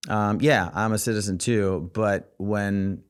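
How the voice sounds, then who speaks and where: Guy on a podcast, lowish smooth voice, rambly, says like and you know a lot. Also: fairly even intonation, slow pace. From United States, Israeli family. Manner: rambling, full of "like" and "you know what I'm saying". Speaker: podcast guest, rapper. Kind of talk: casual interview conversation. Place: podcast studio.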